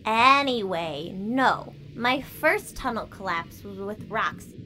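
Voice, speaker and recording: A woman's voice: medium pitch, lively intonation, slow pace, bright, forceful tone, a little hiss or hum, exaggerated voice, low-quality recording. A woman playing a bold, blunt young female mole with dry teasing in a comedic audio drama. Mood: teasing, frank. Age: young adult.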